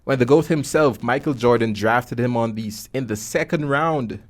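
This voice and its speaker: A man's voice: mid-range voice, moderate pace. A young man with a Caribbean accent hosting a podcast casually, with jokey slang, close on a studio mic. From Guyana. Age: young adult. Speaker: podcast host. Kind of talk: casual podcast presenting and interviewing. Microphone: boom-arm studio mic, close.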